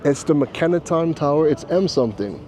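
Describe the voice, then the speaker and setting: A man from Trinidad hosting a casual vlog, chatty and excitable, laughing often as he talks at a rooftop bar. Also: on the high side, brisk, a little hiss or hum. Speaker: vlogger host. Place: busy rooftop bar and restaurant in a city.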